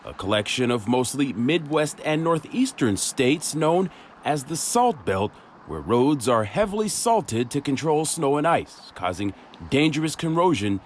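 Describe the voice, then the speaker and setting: A man's voice: mid-range voice, sing-song, a little slow, a little hiss or hum. A man reading a scripted TV news report as a reporter.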